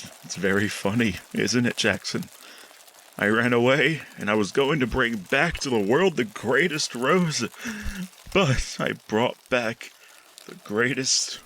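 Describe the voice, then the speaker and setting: An actor playing a guilt-ridden, horror-struck scientist losing his mind in a 1940s-style radio drama, halting and repeating himself melodramatically. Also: medium pitch, fairly bright tone, slight background noise. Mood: bitter, weary despair.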